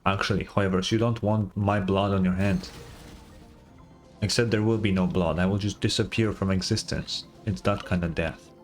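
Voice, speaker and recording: Casual male game streamer over soft music. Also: deep voice, steady tone, moderate pace. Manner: informal, dramatic joking reactions. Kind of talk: casual gameplay commentary, reading and reacting.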